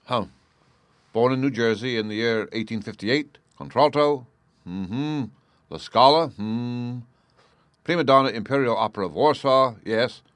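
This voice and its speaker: A man's voice: mid-range voice, a little slow, fairly bright tone, no room sound, voice pushed up, natural voice. A man performing astute detective, unruffled, incisive, dry wit, clipped formal speech, classic mystery tale. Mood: musing. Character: cool, astute gentleman detective, languid and incisive.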